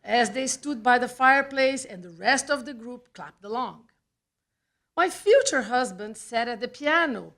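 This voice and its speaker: A woman with a Brazilian accent, a native Portuguese speaker, reads a personal essay aloud at a live event, with deliberate phrasing and dramatic pauses. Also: on the high side, expressive, fairly bright tone. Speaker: writer reading own personal essay. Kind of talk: scripted storytelling read aloud at a live event. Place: small theatre, live reading event.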